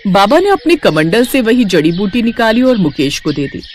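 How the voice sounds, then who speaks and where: Expressive woman story narrator. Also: medium pitch, normal speed, a little hiss or hum. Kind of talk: scripted children's story narration.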